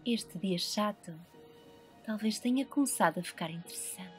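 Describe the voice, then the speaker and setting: A woman's voice: medium pitch, moderate pace, a little mellow, soft background music, natural voice. A woman with a European Portuguese accent voicing a bored, scheming young woman teacher who feigns sweetness in an anime fan dub. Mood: bored, cynical.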